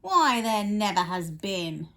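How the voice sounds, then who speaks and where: A woman's voice: mid-range voice, big pitch swings, takes it slowly, a bit bright, full character voice. A woman voicing a scornful young kangaroo in a storybook, with a mocking sneer.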